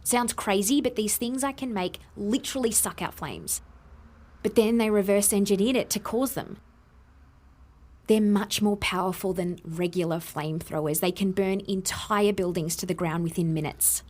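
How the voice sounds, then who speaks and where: An Australian actress playing a guilty, defensive inventor who earnestly explains herself in an audio drama. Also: female voice, medium pitch, moderate pace, a little mellow, close to an everyday voice. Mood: earnest, explaining.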